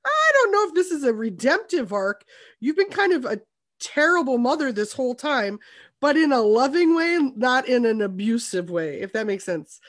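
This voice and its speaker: A woman's voice: high voice, sing-song, moderate pace. A woman co-hosting a podcast, giving casual, opinionated film analysis with dry humour. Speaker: podcast co-host.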